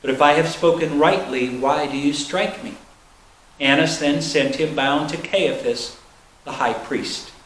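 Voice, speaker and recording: A man's voice: fairly high pitch, unhurried, fairly bright tone. A man reading scripture aloud in a solemn style at a church service. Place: large indoor church space. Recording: echoey room with faint noise.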